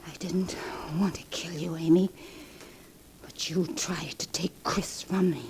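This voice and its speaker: An actress playing a desperate, scheming jealous killer in 1940s radio melodrama, stammering and whispering. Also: female voice, lowish voice, steady tone, measured pace. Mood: anguished, guilty. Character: desperate, scheming jealous woman who has just killed. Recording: noisy old 1940s radio recording.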